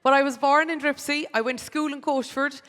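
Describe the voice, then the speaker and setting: A woman with a slightly high voice, hosting a conference in a chatty, friendly way. She speaks into a stage microphone, and the sound rings with the long reverb of a large hall. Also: steady tone, fairly quick, a bit bright. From Cork, Ireland. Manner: chatty, friendly, with personal asides. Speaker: conference MC and radio presenter. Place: large city hall with an audience.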